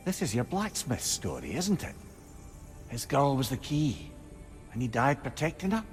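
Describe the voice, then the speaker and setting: A man's voice: mid-range voice, very animated, normal speed, over music, lightly acted. An actor playing a wry, talkative male sage in a video game, curious and chatty.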